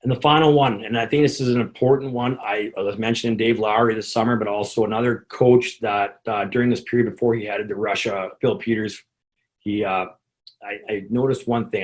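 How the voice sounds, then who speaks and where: A man with a gravelly, slightly low voice explains conditioning to fellow coaches. He rambles, doubling up words and restarting sentences as he goes. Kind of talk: instructional talk to coaches.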